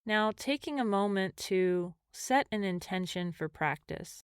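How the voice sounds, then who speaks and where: A woman leading a guided meditation as a mindfulness teacher, speaking gently and in measured phrases with pauses. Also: mid-range voice, animated, clean tone, no room sound, studio quality.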